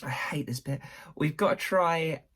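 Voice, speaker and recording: A young woman with a slightly croaky voice, chatting casually and rambling to camera. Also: low voice, measured pace, fairly bright tone. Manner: chatty, rambling, self-deprecating. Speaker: vlogger.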